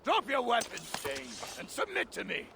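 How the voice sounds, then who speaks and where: An actor playing a pompous, angry Saxon captain in a video game, barking commands. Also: male voice, very high-pitched, huge pitch swings, normal speed, vocal fry, noisy background, full character voice. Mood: commanding. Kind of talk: dramatic video game acting.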